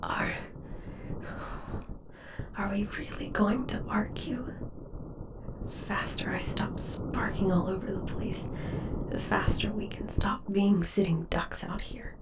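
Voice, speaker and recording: A woman voicing a wounded, wry robot flier soldier in an audio drama, weakened, strained and breathy. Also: medium pitch, wildly swooping pitch, slow, pauses often, in a whisper, far from the mic, exaggerated voice. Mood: strained, irritable. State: injured, weakened.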